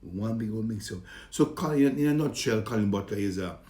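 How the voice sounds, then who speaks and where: Vincentian comedian guy, real low voice, jovial and rambly, echoey room. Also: expressive, a little fast, mellow. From Saint Vincent and the Grenadines and the British Virgin Islands. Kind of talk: casual self-introduction to fans.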